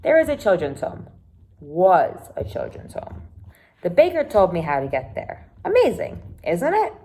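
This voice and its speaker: A woman's voice: lowish voice, wildly swooping pitch, unhurried, warm tone. A woman voicing an earnest young girl in a storybook, speaking in an emphatic, coaxing way. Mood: excited. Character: earnest, reassuring young girl companion, always eager.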